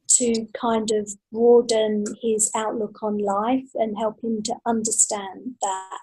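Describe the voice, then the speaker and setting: A middle-aged British woman giving thoughtful, halting answers as a guest in a casual interview. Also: a little high, dark tone, husky, rough recording. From Britain. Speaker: podcast guest, science fiction author.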